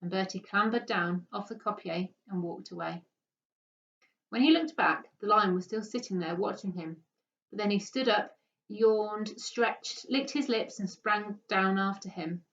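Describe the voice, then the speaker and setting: A woman reading a children's story aloud with expressive narration. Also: mid-range voice, a little slow, slight background noise. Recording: dull, distant microphone.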